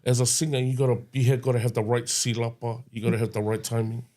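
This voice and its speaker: A young man co-hosting a casual podcast, talking in a halting way with lots of "um" and "yo" interjections. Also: mid-range voice, flat delivery, a little mellow. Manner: halting, many fillers and restarts, says yo often. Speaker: podcast co-host. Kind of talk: casual chat.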